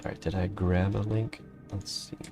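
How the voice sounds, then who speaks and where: A man's voice: low voice, flat delivery, music in the background. A man co-hosting a casual live stream, laughing often and reading chat and articles aloud. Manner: laid-back, laughing often, reads aloud haltingly. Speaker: streamer co-host.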